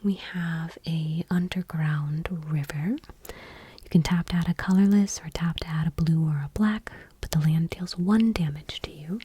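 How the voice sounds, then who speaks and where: Breathy whispering woman, soft ASMR reading. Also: sits low, dry recording. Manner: gentle, unhurried, hushed reading item by item. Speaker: ASMR video host.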